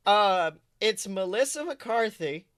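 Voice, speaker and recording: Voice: medium pitch, animated, slow pace, a bit bright. A podcast co-host chatting casually and comedically about movies, rambling, jokey and loose with swearing.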